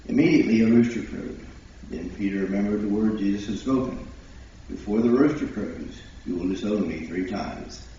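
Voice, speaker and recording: A man's voice: fairly low pitch, normal speed, mellow, slight background noise. A man reading scripture solemnly as a church member at a service, recorded in a church. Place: large indoor church, distant mic. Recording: very echoey, distant, dull church recording.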